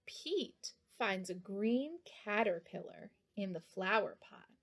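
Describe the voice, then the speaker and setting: A woman's voice: medium pitch, animated, slow pace, a bit bright. A woman reading a picture book aloud for young children, addressing her young listeners directly. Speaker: children's storybook reader.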